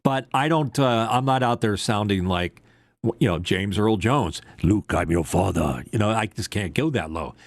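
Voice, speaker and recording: Man, fairly low pitch, close dry mic, easygoing co-host who rambles and jokes at his own expense. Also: expressive, normal speed, no echo. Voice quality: deep voice with strong upper mids. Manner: loose, rambling, self-deprecating joker. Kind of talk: casual tech talk show chat.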